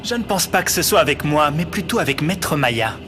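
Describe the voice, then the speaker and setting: A man voices a serious young male martial arts student who narrates his own story. His voice sits a little high and he speaks in a measured, earnest way. Music plays beneath him in this anime dub. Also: lively intonation, lightly acted. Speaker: narrator and fighter. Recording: music behind.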